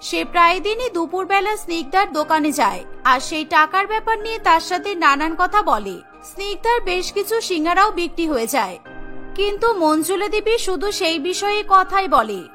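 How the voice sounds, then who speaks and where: A woman's voice: extremely high pitch, expressive, normal speed, pushed and bright, faint music behind. A woman narrating a scripted cartoon story.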